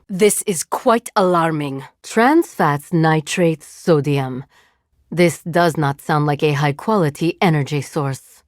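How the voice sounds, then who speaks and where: A woman voicing an eerily calm, literal-minded cyborg agent in a video game, speaking formally and deadpan with precise diction. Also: on the low side, dry recording, clean studio sound.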